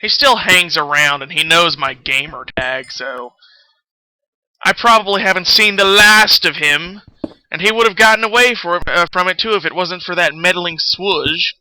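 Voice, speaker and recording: High bright-voiced man streaming a game. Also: moderate pace, low-quality recording. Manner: rambling, self-deprecating, profane. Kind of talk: casual livestream chat while playing a game.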